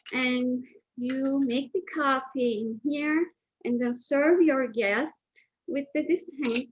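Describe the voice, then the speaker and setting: A middle-aged woman from Turkey with a Turkish accent, a guest chef chatting informally through a cooking demonstration, often checking in with "okay?". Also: a little high. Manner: chatty, often asks "okay?", loose non-native grammar. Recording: dull lo-fi sound.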